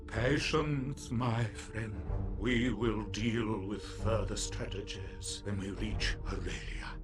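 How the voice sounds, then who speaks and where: A man playing a wise, solemn alien mentor in a video game, speaking formally and reverently with breathy phrase endings. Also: on the low side, over music. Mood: grave, calm. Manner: slow, reverent, formal, with pauses. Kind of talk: cinematic video game acting. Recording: clear background ambience noise.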